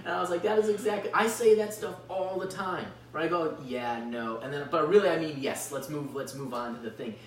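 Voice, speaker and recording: An American man co-hosts a casual podcast, rambling in an animated, joking way and acting out little exchanges. His voice sits back from the microphone in an echoey room, with a faint hum in the recording. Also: fairly high pitch, fairly quick, hardly any pauses. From Chicago suburbs, United States. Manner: animated, rambling, swears freely, acts out quoted exchanges. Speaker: podcast co-host. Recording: roomy echo, distant mic, faint hum.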